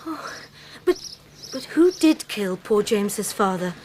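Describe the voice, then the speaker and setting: A woman's voice: mid-range voice, big pitch swings, slow pace, darkish tone, slight background noise. A woman playing an earnest, genteel young Victorian lady in a radio drama, with an English accent and polite, formal speech. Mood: earnest, grateful.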